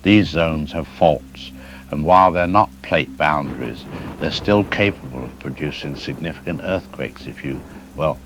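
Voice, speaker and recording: An actor playing a calm, middle-aged seismologist who explains things carefully and hedges his words, as a guest in a news interview drama. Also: extremely low pitch, moderate pace, a little hiss or hum. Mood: measured. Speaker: expert guest.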